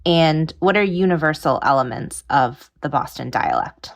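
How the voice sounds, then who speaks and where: A woman from Massachusetts reads her listener question aloud at a measured pace. Her voice sits very low. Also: expressive.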